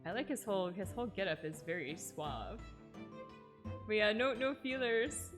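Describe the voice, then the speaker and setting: A woman's voice: medium pitch, expressive, normal speed. A woman from Texas streaming a game, chatting casually and giggling often. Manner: chatty, giggly, full of "like" fillers. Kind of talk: casual game-stream chat and reading aloud. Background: game music.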